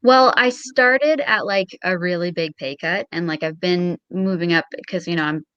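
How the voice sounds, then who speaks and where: A young woman with a slightly low voice, recorded fairly dry and close. She talks casually as a guest, in a modest, self-deprecating and tentative way. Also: normal speed, fairly bright tone, clear, resonant tone, no echo, dull audio. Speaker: guest on a show. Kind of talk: casual interview chat.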